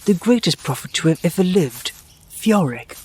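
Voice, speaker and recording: A man's voice: high voice, lively intonation, normal speed, slight background noise. A man playing an earnest, learned wolf who recounts history formally in a scripted fantasy drama. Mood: earnest, explaining.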